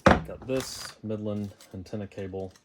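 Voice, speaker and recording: A man's voice: on the low side, steady tone, a little slow, very noisy. A man giving a casual, unscripted how-to as a DIY vlogger, thinking aloud as he goes. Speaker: DIY vlogger installing a car radio.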